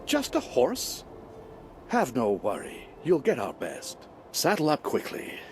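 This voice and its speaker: A man's voice: medium pitch, wildly swooping pitch, slow pace, a little mellow, a little hiss or hum, heavily acted. A hearty stable hand from a fantasy game, with extremely swooping intonation on a mid-range voice. He is effusive, admiring and teasing. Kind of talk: fantasy video game voice acting.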